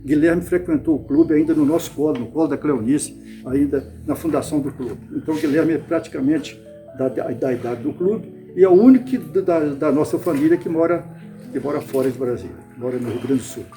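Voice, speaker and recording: An older man from Bahia, Brazil, recalling his past in an interview, unhurried and often restarting or repeating words. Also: fairly high pitch, dark tone, soft background music. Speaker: interviewee, club founder. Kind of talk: reminiscing interview.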